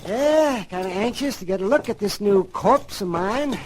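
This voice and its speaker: Morbid old-West town doc, high-pitched, swooping intonation, folksy, garrulous, radio drama. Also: male voice, lively intonation, moderate pace, a little mellow, slight background noise. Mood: gleeful, chatty. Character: gleefully morbid, folksy frontier town doctor. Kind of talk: old-time radio western drama acting.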